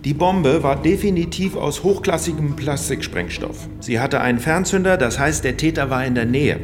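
An actor playing a matter-of-fact, slightly grumpy police investigator in a German radio crime drama, plain and businesslike. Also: male voice, a little high, normal speed, over music, natural voice.

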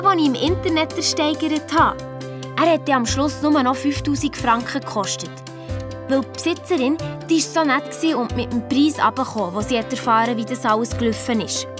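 A woman's voice: sits high, natural voice. A woman playing an eager, chatty girl detective with a Swiss German accent, telling her story colloquially in a children's audio drama. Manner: lively, colloquial retelling, quoting others.

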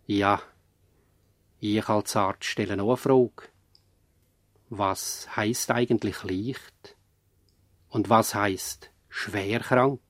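A man narrating a radio play as an earnest commentator, in deliberate, emphatic short phrases. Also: fairly low pitch, fairly even intonation, no room sound, studio quality. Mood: grave, questioning. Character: earnest, probing narrator-commentator of a medical drama.

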